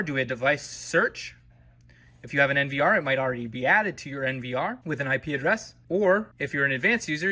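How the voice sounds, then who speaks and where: A man's voice: mid-range voice, pushed and bright. A man presenting a step-by-step product tutorial in an informal, explanatory way. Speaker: tutorial presenter for a security camera company.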